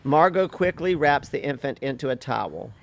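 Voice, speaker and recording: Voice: medium pitch, expressive, moderate pace, gravelly, dull audio. A person reading stage directions as plain, factual scripted narration for a play.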